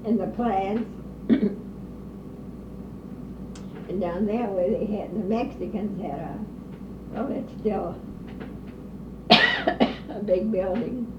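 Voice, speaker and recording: An older woman being interviewed, slowly reminiscing about her old neighborhood with pauses, hesitations and the odd cough. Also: medium pitch, huge pitch swings. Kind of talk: oral history interview. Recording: distant roomy lo-fi recording with noise.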